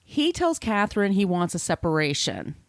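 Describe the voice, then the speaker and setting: A woman's voice: medium pitch, big pitch swings, normal speed, no room sound. A woman hosting a podcast, telling literary history conversationally in an informal, explanatory way with asides. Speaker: podcast host.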